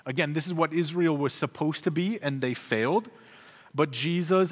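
A pastor delivering an earnest, emphatic sermon to his congregation in a church. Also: on the high side. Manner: earnest, emphatic preaching with dropping endings. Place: church sanctuary. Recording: reverberant church hall.

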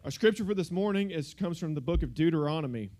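A man's voice: fairly high pitch, expressive, fast talker, a little mellow. A young man giving a casual, joking sermon from a church stage on a handheld microphone. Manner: joking, conversational, uses filler words like "like" and "y'all". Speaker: guest preacher. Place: church sanctuary.

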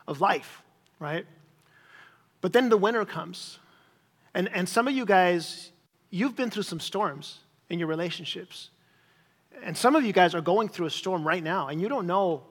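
A middle-aged male pastor delivers a sermon in a noticeably high voice. His delivery is conversational yet emphatic, often restating a phrase to make it stick. The long reverb of a large hall trails his words. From grew up in Chile as a missionary kid.

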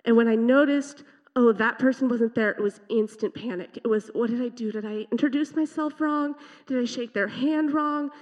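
A woman sharing a heartfelt church testimony to her youth group, earnest and emotional, with a loud voice. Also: fairly high pitch, moderate pace. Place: church sanctuary. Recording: dull sound with long hall reverb.

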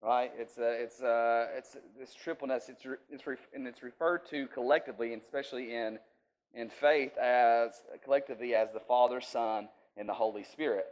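A man's voice: medium pitch, fairly even intonation, normal speed, darkish tone. Folksy preacher man, chatty and down-home. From rural US. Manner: folksy, checks in with listeners often. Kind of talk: informal sermon teaching.

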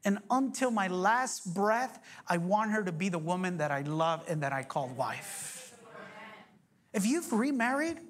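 A man in his forties preaching a sermon as pastor, energetic, joking and firing off rhetorical questions. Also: sits high, a little slow. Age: 40s.

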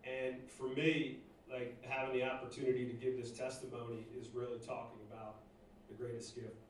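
A man from Northeast Philadelphia giving a halting personal testimony to a church congregation, full of fillers and restarts. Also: medium pitch, flat delivery. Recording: echoey room, distant mic, faint noise.

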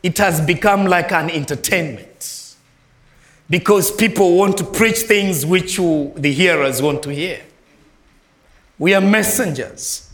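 A man from Malawi with a Malawian accent preaching a sermon as a guest preacher, swinging between soft low phrases and raised ringing lines. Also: slow pace, echoey room. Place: church.

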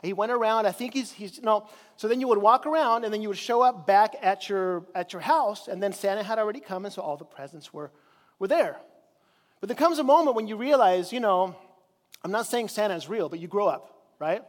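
A man's voice: high-pitched, brisk, big-room sound. A man from Chile preaching a sermon in a conversational way, drawing out key words for emphasis. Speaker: pastor. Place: church sanctuary.

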